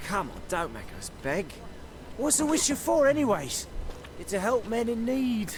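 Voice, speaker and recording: A man playing a cheeky, drunken village thug in a fantasy video game, cajoling in a rough manner. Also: very high voice, big pitch swings, moderate pace, a little hiss or hum, full character voice. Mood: pressing.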